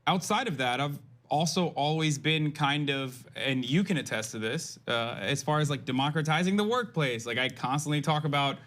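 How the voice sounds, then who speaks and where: A man's voice: a little high, moderate pace, few pauses, fairly bright tone. A young man from Turkey, a political commentator on a panel, talking in a rambling way full of restarts. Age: young adult. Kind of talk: casual panel discussion.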